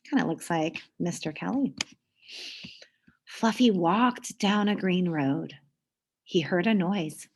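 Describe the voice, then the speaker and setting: A woman reading aloud to children in an expressive storytelling style, with deliberate pauses and emphasis. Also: on the low side, background noise.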